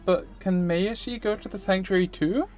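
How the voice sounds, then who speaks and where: Perky young cat maid girl, put on by a streamer, high voice, cutesy, visual novel character, music behind. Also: moderate pace, darkish tone, lightly acted. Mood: sweet, eager. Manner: cutesy, with cat sounds in speech.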